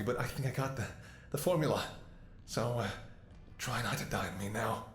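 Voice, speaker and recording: A man performs a devoted, worried doctor speaking intimately to his ailing lover. His delivery is emotional and halting, with tender sighs, at a middling male pitch. The audio roleplay has a clearly echoey, roomy sound. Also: lightly acted. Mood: pleading. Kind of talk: intimate scripted ASMR roleplay acting. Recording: echoey room.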